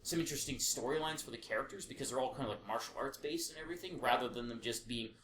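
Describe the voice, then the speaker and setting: Male podcast co-host, mid-pitched, opinionated and foul-mouthed, casual chat style, echoey room sound. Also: fast talker, a bit bright. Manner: sweary, emphatic opinions. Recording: roomy echo, faint background noise.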